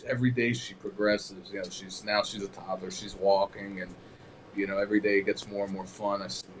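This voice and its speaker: A man in his early thirties, a guest on a casual talk show, with a fairly low voice. He speaks quickly and earnestly, in a relaxed conversational way. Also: rough recording. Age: 30s. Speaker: guest, cigar maker. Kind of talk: casual chat.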